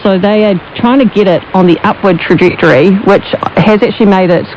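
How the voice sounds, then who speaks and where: A woman's voice: medium pitch, a little fast, a little mellow. A woman with a New Zealand accent talking conversationally in a radio interview as a sports pundit, heard over shortwave. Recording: dull, noisy shortwave radio reception.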